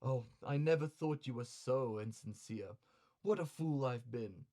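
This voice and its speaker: Man acting as reproachful woman courtesan, soft-spoken, wily, coaxing, chiding, comic storytelling. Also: medium pitch, a little slow, close to an everyday voice.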